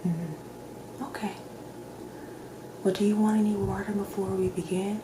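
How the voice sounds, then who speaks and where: A woman speaking softly and gently, often slipping into a whisper, in a measured ASMR roleplay as a doctor. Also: mid-range voice, steady tone. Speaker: ASMR creator playing a doctor. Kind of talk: soft-spoken ASMR roleplay. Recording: roomy echo with clear background noise.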